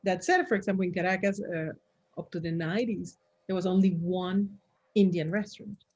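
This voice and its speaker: A middle-aged woman from Venezuela chats casually as she hosts an informal online session. Her voice sits a little low with a husky grain, and she talks expressively, working out thoughts as she speaks. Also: fairly even intonation, brisk, darkish tone, lo-fi sound. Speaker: session host. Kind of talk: casual group chat.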